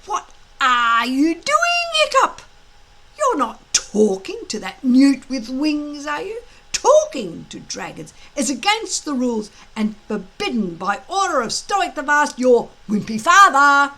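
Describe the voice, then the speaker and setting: A woman voicing a sneering, bullying teenage boy who taunts loudly. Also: high voice, wildly swooping pitch, takes it slowly, pitched above the performer's own voice, heavily acted. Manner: sneering, loud.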